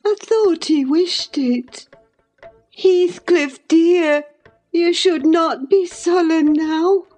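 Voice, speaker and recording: Woman reader doing a dying young lady, high and breathy, all longing and pleading, storybook style. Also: extremely slow, warm tone, faint music behind, full character voice. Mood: yearning. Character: feverish dying young woman, passionate and yearning.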